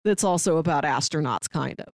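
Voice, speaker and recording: A woman's voice: sits low, brisk, no echo. Woman podcast host, close dry sound. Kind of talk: casual podcast chat, pitching shows.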